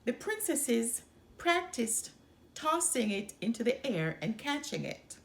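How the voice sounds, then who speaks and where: A woman hosting story time, reading a children's storybook aloud in an expressive narrating style. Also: a little high, takes it slowly. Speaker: storytime host.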